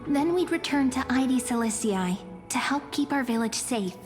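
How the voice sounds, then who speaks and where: A woman voicing a gentle, dreamy young fortune-teller in a video game, soft and lyrical. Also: sits high, animated, normal speed. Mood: reassuring. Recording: long reverb, music underneath.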